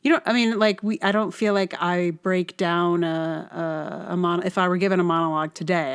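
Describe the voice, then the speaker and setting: A woman hosting a solo podcast in a casual, rambling way, often thinking aloud in unfinished phrases. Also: fairly low pitch. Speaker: podcast host.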